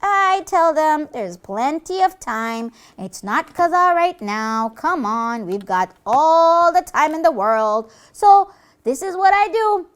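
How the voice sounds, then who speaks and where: A woman's voice: sits high, sing-song, slow pace, heavily acted. A woman voicing a cheeky, boastful devil puppet with a brash, wisecracking manner in a comic educational puppet show. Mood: scheming, breezy.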